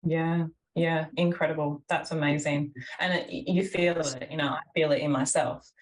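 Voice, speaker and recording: A woman with a slightly croaky voice, hosting an interview and responding with enthusiastic agreement. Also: low-pitched, fairly even intonation, normal speed, recorded from a distance, rough recording. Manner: enthusiastic, affirming, lots of "yeah" and "mm". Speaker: podcast host. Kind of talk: interview conversation.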